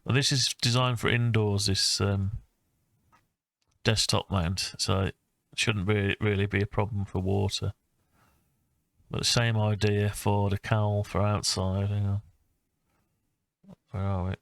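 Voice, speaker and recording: A man talking through his own design project informally, thinking aloud with hesitant, halting phrases. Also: fairly low pitch, flat delivery, creaky, a bit bright, no echo. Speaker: hobbyist maker presenting own design project. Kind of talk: informal screen-recorded CAD design walkthrough.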